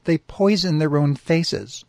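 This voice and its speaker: A man's voice: fairly high pitch, expressive, slow, gravelly, dry recording, voice pushed up, exaggerated voice. A man voicing a squeamish male grizzly bear in a comic story, earnestly and indignantly explaining himself. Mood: indignant, exasperated.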